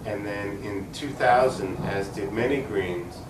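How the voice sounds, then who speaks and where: Man from Long Island, lowish voice, interview guest, explanatory, halting, echoey room. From Long Island, New York, US. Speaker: interview guest, political activist. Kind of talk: conversational interview answers. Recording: roomy, distant mic, faint noise.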